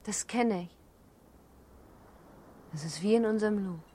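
An actress playing a naive, anxious young gentlewoman in a German radio drama, with a questioning manner. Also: medium pitch, a little slow, breathy edge. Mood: uneasy wonder. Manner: wondering, questioning.

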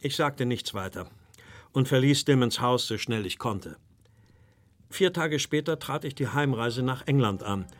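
An earnest gentleman of formal bearing played by a male actor, telling his story in a mid-pitched man's voice. He is deferential and anxious, in the measured style of a radio play. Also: natural voice. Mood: grave recounting. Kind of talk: German audio drama acting and narration.

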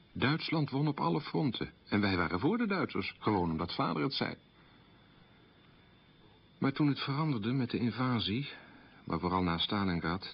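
Radio drama role: man recalling a wartime childhood, deep and slightly rough, short plain sentences. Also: highs cut off, full character voice. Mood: sober. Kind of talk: radio documentary-drama monologue, reminiscing.

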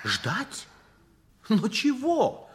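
A man acting an earnest, worried young fiancé in a 1960s Soviet radio drama, explaining in a theatrical, measured way. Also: sits high, wildly swooping pitch, fairly bright tone, slight background noise, exaggerated voice. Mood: earnest, wry.

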